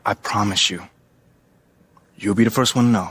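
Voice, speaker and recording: An actor playing an eager, confident young American basketball rookie in a video game, talking in casual slang. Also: male voice, fairly low pitch, steady tone, normal speed, fairly bright tone, husky. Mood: earnest, defensive. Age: young adult. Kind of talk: naturalistic video game cutscene acting.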